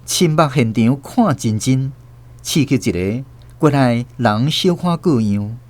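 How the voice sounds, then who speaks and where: A man with a Taiwanese accent narrating a scripted radio drama story in an expressive storyteller's delivery. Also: medium pitch, takes it slowly. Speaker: host and narrator.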